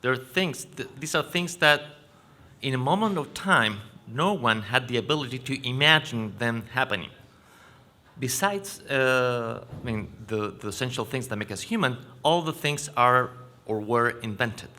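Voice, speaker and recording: Conference speaker, male, Chilean Spanish accent, bright forceful voice, explanatory and halting delivery. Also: mid-range voice, lively intonation. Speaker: panel speaker. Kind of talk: conference presentation. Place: conference venue stage.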